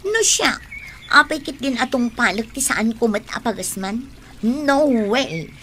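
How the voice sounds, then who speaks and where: An actress playing a skeptical, resentful young college student in an Ilocano radio drama. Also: female voice, on the high side, animated, a little slow, pushed and bright, a little hiss or hum. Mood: indignant.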